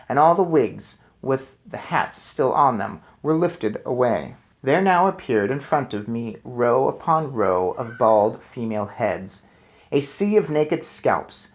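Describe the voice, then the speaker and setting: A man reading a children's book aloud as a storyteller, with expressive, deliberate phrasing. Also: mid-range voice. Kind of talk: expressive children's book narration with casual asides.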